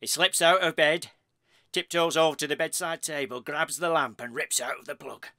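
An actor playing an excitable, morbid husband in a comic radio play, melodramatic and gossipy, with a British accent. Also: male voice, on the high side, normal speed, no room sound, studio quality. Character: excitable morbid husband spinning lurid murder theories.

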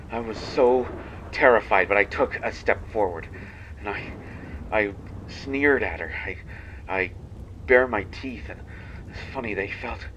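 A man voicing a kindhearted, haunted young banshee in a dramatic monologue, halting between phrases as if confessing. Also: lowish voice, muffled recording, slight background noise. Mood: intense, shaken. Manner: halting, hushed confession with long pauses. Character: kindhearted young male banshee, half-fey, haunted and menacing.

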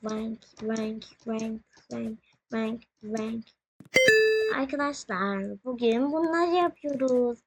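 A woman's voice: fairly high pitch, takes it slowly. A young female gaming host giving playful, casual commentary in a sing-song, exclaiming manner. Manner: sing-song, exclaiming, humming nonsense syllables. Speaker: young gaming video host playing alongside parent. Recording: very noisy home recording with clicks.

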